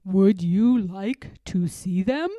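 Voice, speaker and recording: A calm, fatherly God from a storybook, voiced by a woman, speaking very slowly in a lowered, soft-edged tone. The manner is solemn and tender, commanding yet reassuring. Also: dry recording, natural voice. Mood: gentle, guiding. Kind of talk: storybook read-aloud.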